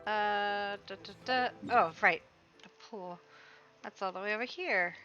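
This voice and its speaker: A woman's voice: medium pitch, a little slow, pushed and bright, muffled recording, faint music behind. A woman streamer giving casual commentary while she plays, muttering to herself in a playful, rambling way.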